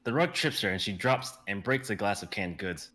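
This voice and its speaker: Young guy reading stage directions, low and bright voice. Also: lively intonation, normal speed. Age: young adult. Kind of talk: dramatic script reading.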